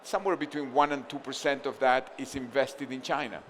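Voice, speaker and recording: A man's voice: medium pitch, fairly even intonation, brisk, a little hiss or hum. A man from Argentina speaking on a conference panel, thinking aloud with frequent restarts and fillers.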